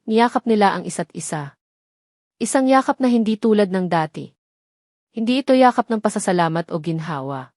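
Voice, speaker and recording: A woman reading a scripted romantic audio drama as narrator, with measured, sentimental storytelling in short phrases. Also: mid-range voice, a little mellow, no echo, studio quality.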